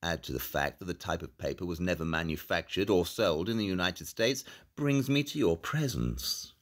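An actor playing a brilliant, self-assured detective in an audio drama, speaking in a precise, theatrical, condescending way. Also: male voice, low voice, lively intonation, moderate pace. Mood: superior, expository.

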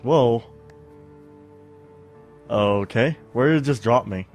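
A man's voice: medium pitch, takes it slowly, a little mellow, soft background music. A stuffy-sounding young man on a studio mic, casually rambling through gameplay commentary with lots of fillers and humming. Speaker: let's play host.

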